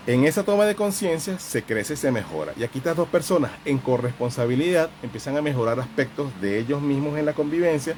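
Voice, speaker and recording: A man's voice: medium pitch, darkish tone. Man explaining reflectively over background noise. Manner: halting, reflective, didactic, with repeated phrases. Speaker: relationship counselor and host. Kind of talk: informal live talk on relationships, explaining with examples.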